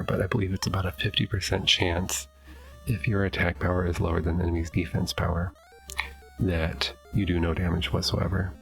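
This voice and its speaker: A man's voice: low-pitched, creaky, poor audio. A middle-aged man with a stuffy nose, casually thinking aloud while commenting on his gameplay from his home basement. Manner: thinking aloud, hesitant, self-correcting. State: stuffy, sniffling. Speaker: let's play host.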